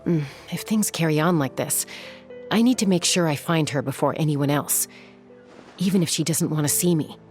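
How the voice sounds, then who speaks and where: An actress playing a calm, reflective young woman warrior in a video game, talking in a soft, measured way. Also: deep voice, soft background music, close to an everyday voice.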